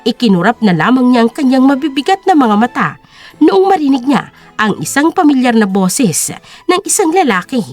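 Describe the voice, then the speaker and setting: A woman's voice: sits high, very animated, moderate pace, darkish tone. A woman narrating a scripted drama story in a dramatic storytelling style. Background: music.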